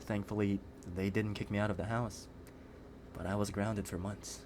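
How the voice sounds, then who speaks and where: A man acting a shy, guarded young guy with a fairly low voice. He speaks earnestly and with restraint, as in an intimate audio roleplay. There is noise behind the voice. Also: little pitch movement, normal speed, close to an everyday voice. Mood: somber. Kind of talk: scripted ASMR roleplay acting. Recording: clearly noisy background.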